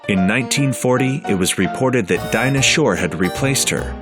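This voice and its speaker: A man narrating a documentary in an even, measured scripted delivery. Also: medium pitch, music in the background.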